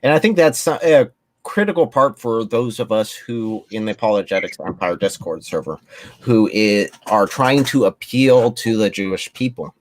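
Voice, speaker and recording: A man's voice: lowish voice, expressive, normal speed. A man hosting a casual livestream chat, speaking earnestly and stumbling over his words.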